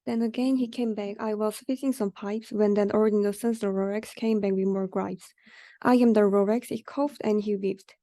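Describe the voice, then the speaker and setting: A woman's voice: medium pitch, fairly even intonation, normal speed, dark tone, no echo. A young adult woman student reading a rhyming children's story aloud, stumbling over unfamiliar words. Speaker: student reader.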